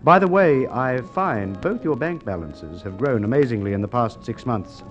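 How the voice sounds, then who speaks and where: An actor playing a shrewd, formal police inspector in a Golden Age radio drama, questioning in a deliberate, coolly probing way. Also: male voice, mid-range voice, lively intonation, faint music behind, natural voice. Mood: accusing, assured. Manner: measured, deliberate questioning with hesitations. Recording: muffled vintage radio drama recording.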